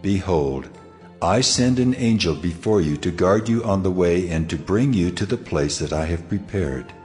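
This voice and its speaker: A man playing God as a solemn, commanding lawgiver in a dramatized audio Bible, speaking in measured, authoritative pronouncements. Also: low voice, animated, a little mellow. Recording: music under the voice.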